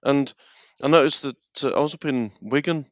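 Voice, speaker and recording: A man's voice: medium pitch, moderate pace, no echo. Dull-sounding man chatting casually. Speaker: guest on a live radio talk show. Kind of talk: casual chat. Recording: dull, dry, band-limited call audio.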